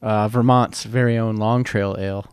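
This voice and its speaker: A man guesting on a podcast, chatting casually with teasing, deadpan humor. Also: on the low side, moderate pace, clear, resonant tone, no room sound, clean studio sound. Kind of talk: casual chat.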